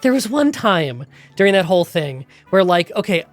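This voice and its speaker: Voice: mid-range voice, big pitch swings, moderate pace, soft background music. Nasal, congested gaming YouTuber. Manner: chatty, rambling storytelling. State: congested from a cold. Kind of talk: game challenge voiceover recap. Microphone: close voiceover mic.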